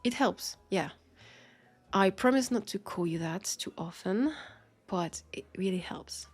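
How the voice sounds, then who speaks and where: A woman with a French accent voicing a wry, centuries-old vampire detective in an intimate audio roleplay, husky and creaky, talking in an unhurried, conversational way. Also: medium pitch, expressive, soft background music. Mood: teasing. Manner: unhurried, conversational, with pauses and sighs.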